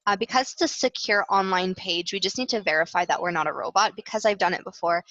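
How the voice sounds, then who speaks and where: A woman's voice: medium pitch, animated, fast talker, pushed and bright. A woman with a Canadian accent presenting a webinar product demonstration in an informal, explanatory way, with frequent hesitations. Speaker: client success manager presenting product demo. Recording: roomy, slightly dull webinar audio.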